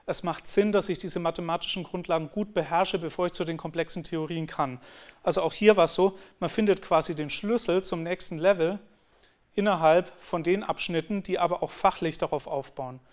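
A man's voice: a little high, brisk. A male lecturer speaks in a slightly raised register. He explains his teaching ideas earnestly, and his sentences often stop and begin again. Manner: earnest, explanatory, with frequent restarts. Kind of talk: conference talk on teaching.

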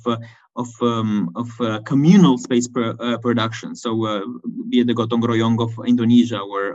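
A man presenting at an academic conference, speaking haltingly with many restarts and fillers. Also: medium pitch, darkish tone. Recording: dull lo-fi recording.

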